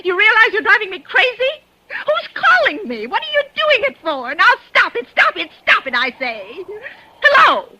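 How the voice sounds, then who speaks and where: Frantic, imperious rich invalid. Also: female voice, very high-pitched, huge pitch swings, moderate pace, bright, forceful tone, no echo, heavily acted, poor audio. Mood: hysterical. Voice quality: haughty, hysterical, breaks into sobs and stammers. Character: frantic bedridden wealthy woman, imperious, terrified. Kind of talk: 1940s radio drama acting.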